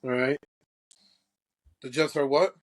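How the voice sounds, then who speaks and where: A man's voice: medium pitch, fairly even intonation, slow pace. A young man from Croatia co-hosting a football podcast, brash and boastful, swearing freely in casual chat. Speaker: podcast co-host.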